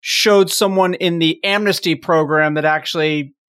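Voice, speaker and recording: A man's voice: fairly high pitch, steady tone, moderate pace, fairly bright tone, smooth. A man co-hosting a podcast, chatting informally and wryly about a TV show. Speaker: podcast co-host. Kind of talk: casual panel chat about a TV show.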